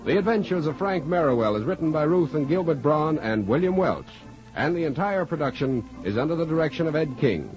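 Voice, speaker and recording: A man's voice: on the high side, lively intonation, brisk, a little mellow. A man announcing in the formal style of a 1940s radio show. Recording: muffled 1940s radio with music. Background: music.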